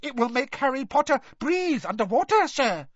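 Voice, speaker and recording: A tiny male house-elf servant speaks in a very high squeak. He is earnest and deferential, talks about himself in the third person, and his pitch leaps about in a dry recording. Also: very animated, normal speed, no room sound, highs cut off. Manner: third-person speech, broken grammar, deferential.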